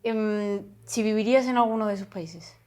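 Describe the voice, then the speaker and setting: A woman interviewing a guest in a casual chat, curious and encouraging, with quick follow-up questions. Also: mid-range voice, darkish tone.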